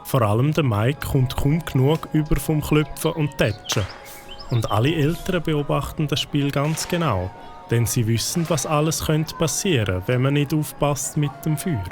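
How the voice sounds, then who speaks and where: A man with a Swiss German accent giving calm, scripted narration for a children's audio story. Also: a little high, moderate pace, over music.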